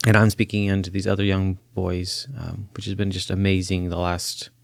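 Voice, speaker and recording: A man in his forties who grew up in Chile, a counseling professor talking as a podcast guest, earnest and animated, laughing easily. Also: low voice, moderate pace, vocal fry, no room sound. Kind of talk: interview conversation. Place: podcast studio.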